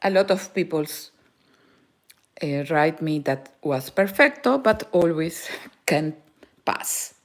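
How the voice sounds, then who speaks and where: Woman, Peruvian Spanish accent, native Spanish speaker, crochet teacher, live tutorial, slow unhurried pace, halting speech with word-searching pauses. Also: low voice, animated. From Peru. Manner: halting, searching for words, frequent "eh" fillers.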